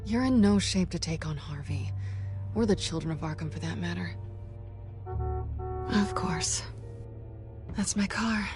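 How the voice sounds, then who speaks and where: A woman's voice: lowish voice, unhurried, long pauses, music in the background, close to an everyday voice. A woman playing a guarded, worldly woman on the run in a video game, wry and cool. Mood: tender, guarded.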